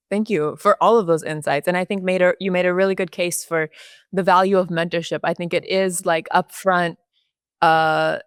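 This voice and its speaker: Woman podcast host, low smooth voice, relaxed questions, dry sound. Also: no echo. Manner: brief affirming backchannels, relaxed questions. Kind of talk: conversational interview.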